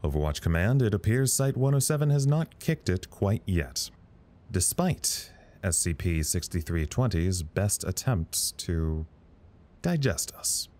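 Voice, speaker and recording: Composed male officer, deep voice, measured and dry-witted. Audio drama log to command. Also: sing-song, a little mellow. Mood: wry, measured. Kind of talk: audio drama narrated report.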